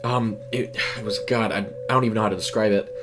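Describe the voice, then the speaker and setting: A man voicing a spooked, hesitant young fast-food worker in a horror story, stammering. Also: lowish voice, steady tone, over music, natural voice, rough recording. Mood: shaken.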